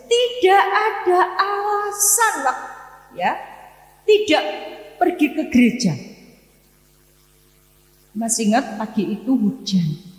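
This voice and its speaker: A woman in her sixties with a Javanese accent, preaching with personal stories in an emphatic, deliberate way, with pauses and repeated phrases. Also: high voice. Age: 60s. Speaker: Islamic preacher giving a morning study lecture. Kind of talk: sermon with personal storytelling. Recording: echoey hall with long reverb.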